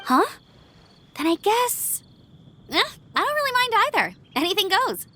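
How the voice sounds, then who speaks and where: A woman's voice: very high-pitched, very animated, a little slow, background noise, full character voice. An actress playing a cheerful, easygoing young woman adventurer in a video game cutscene, speaking casually. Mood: unsure.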